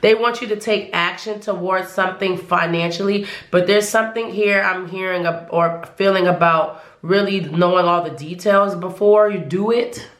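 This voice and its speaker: A woman's voice: on the low side, moderate pace, few pauses, roomy sound. A woman giving a casual tarot reading to viewers, losing her voice, who rambles and often restarts her sentences. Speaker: tarot reader.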